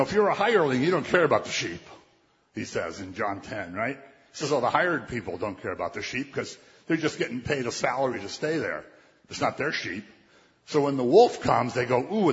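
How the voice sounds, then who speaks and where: Male preacher, slightly high voice, conversational delivery; long hall reverb. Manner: conversational preaching, quotes scripture, acts out voices. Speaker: pastor. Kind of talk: sermon. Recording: dull, muffled sound with long hall reverb.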